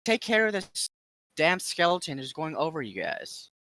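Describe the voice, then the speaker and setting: A man's voice: a little high, big pitch swings, moderate pace, sharp tone, no echo. A man chatting casually while playing a game, heard over a noisy, distant voice chat microphone. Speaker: game player.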